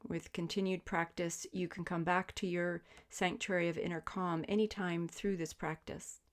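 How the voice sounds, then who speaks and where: A woman guiding a sleep meditation as a yoga teacher, speaking in gentle, soothing, measured invitations. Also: fairly low pitch, level tone. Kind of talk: guided sleep meditation, spoken instruction.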